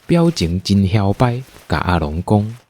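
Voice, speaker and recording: A man with a Taiwanese accent narrating a scripted radio drama in an animated, dramatic storytelling manner. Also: on the low side, measured pace.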